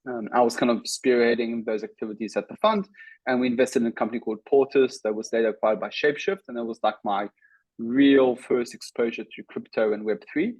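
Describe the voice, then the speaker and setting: South African man, halting, dry sound. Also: mid-range voice, steady tone, darkish tone, dry recording, rough recording. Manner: talks in short bursts with many "um" and "uh" pauses. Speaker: guest, startup CEO. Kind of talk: casual interview talk.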